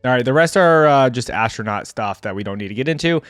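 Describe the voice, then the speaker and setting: A man gives casual reaction commentary on a video game at an ordinary mid pitch. He talks informally, reading out and thinking aloud, on a close, very dry microphone. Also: expressive, fast, a bit bright, no echo, faint music behind.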